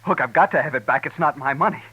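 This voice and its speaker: An actor playing an anxious small-town banker who fears ruin, pleading and fretful, in a 1950s radio drama. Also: male voice, on the high side, quick pace, husky, dull audio. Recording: noisy old radio broadcast.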